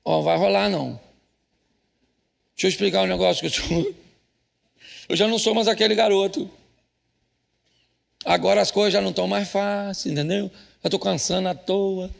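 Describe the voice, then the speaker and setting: A Brazilian pastor playing a weary, aging prophet who rambles through slangy, evasive excuses in a comic sermon. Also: male voice, high-pitched, steady tone, moderate pace, vocal fry, long pauses. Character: weary, reluctant older male prophet making comic excuses.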